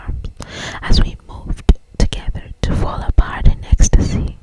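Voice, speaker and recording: An ASMR host reads a romance novel in a constant whisper. The delivery is very slow and breathy, with drawn-out phrases and an intimate, close manner.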